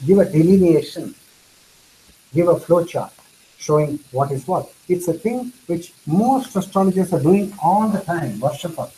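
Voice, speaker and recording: A man's voice: fairly high pitch, animated, normal speed, dark tone, a little hiss or hum, low-quality recording. A man whose first language is Tamil, lecturing earnestly to an audience and repeating phrases for emphasis. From India. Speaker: speaker. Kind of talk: lecture answering questions.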